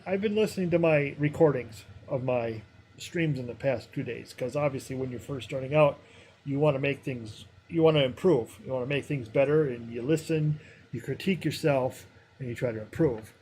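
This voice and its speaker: A man chatting casually while live-streaming a game, rambling with many fillers, with a somewhat high, harsh voice. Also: normal speed, darkish tone, slight background noise. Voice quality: higher, harsher voice.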